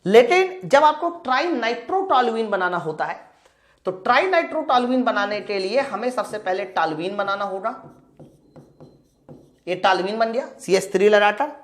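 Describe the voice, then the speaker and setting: A woman's voice: mid-range voice, expressive, moderate pace. A woman teaching an exam-prep class, posing questions, answering them herself and repeating key points. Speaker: science teacher. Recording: echoey room sound.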